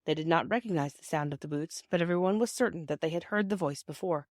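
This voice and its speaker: A woman's voice: sits low, fast, no room sound, clean studio sound. A woman with a British accent reading a children's novel aloud as scripted storybook narration. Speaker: audiobook reader.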